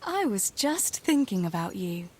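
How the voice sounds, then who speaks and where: An actress playing a tender, gently teasing young village woman in a medieval video game, soft-spoken and earnest. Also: mid-range voice, big pitch swings, a little slow, a little hiss or hum. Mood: tender, worried. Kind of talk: naturalistic video game dialogue acting.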